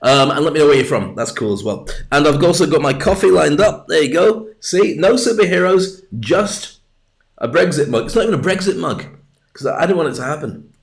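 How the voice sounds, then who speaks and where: A man's voice: on the high side, expressive, a little fast, gravelly. A British man hosting a livestream, talking in a chatty, jokey, rambling way into a webcam mic at his desk. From Britain. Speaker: livestream host. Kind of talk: rambling casual monologue.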